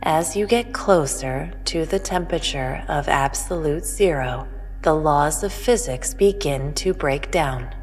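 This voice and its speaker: An otherworldly female intelligence, played by an actress, pronounces cosmic truths with calm superiority. Her voice is low and slow, formal and measured, in long reverb over music. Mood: serene, instructive. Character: otherworldly all-knowing female entity, cryptic, calmly superior. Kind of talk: sci-fi audio drama.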